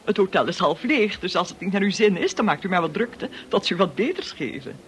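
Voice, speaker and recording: A woman's voice: medium pitch, expressive, fairly quick, natural voice. An actress playing an overbearing, gossipy, wealthy society lady in a radio play, condescending and theatrical, on a noisy old recording. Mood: gushing, imperious. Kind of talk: radio drama acting.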